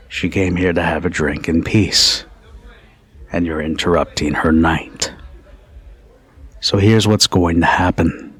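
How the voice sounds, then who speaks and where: A low-voiced, unhurried bartender acted by a man in an intimate ASMR roleplay. He is protective and teasing, with a commanding but caring way of putting each phrase. His voice has a husky grain. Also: full character voice.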